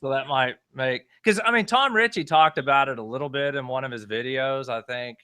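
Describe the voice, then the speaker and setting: A talkative man from Western Oklahoma presses his point in a podcast debate. His voice is bright and forceful at a middling pitch. The sound is dry, with no room echo. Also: fairly quick, smooth. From Western Oklahoma, United States. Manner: talkative, argumentative. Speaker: podcast co-host, history channel creator.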